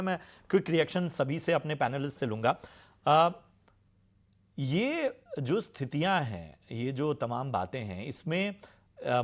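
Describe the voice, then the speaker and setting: A man moderating a television panel discussion as host, speaking haltingly and repeating words as he goes. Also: fairly high pitch.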